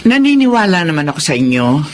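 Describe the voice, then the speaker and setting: A woman playing a kind, fair-minded restaurant boss in a Filipino radio drama, calm and reassuring, with a Filipino accent. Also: low voice, fast, slight background noise. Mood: reassuring, understanding.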